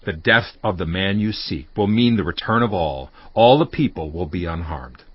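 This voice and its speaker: An actor playing a shrewd, ruthless counselor in a dramatized audio Bible, speaking deliberately and emphatically. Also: male voice, low-pitched, expressive, breathy edge, no room sound, dull audio. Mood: resolute.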